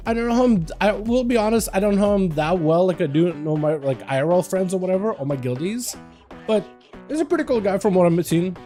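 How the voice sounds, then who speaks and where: A high-voiced young man from Russia talks loosely through a headset mic, full of fillers and restarts. His tone is mellow and relaxed. Faint music plays underneath. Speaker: streamer. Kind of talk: casual livestream chat.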